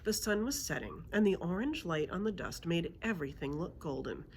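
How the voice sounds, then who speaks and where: A woman narrating a children's storybook aloud in an expressive read-aloud style. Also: fairly low pitch, normal speed, a little hiss or hum. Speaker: librarian reading aloud.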